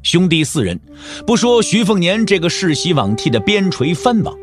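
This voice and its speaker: A man's voice: sits high, big pitch swings, moderate pace, music in the background. A man narrating a martial-arts audio drama in a theatrical, wry storytelling style. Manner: theatrical, wry, with rhetorical questions.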